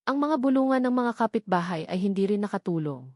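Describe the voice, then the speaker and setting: A woman reading a first-person dramatic story as a narrator, in a measured and earnest storytelling manner. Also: medium pitch, animated, darkish tone, dry recording, studio quality. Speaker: narrator of a romance audio drama.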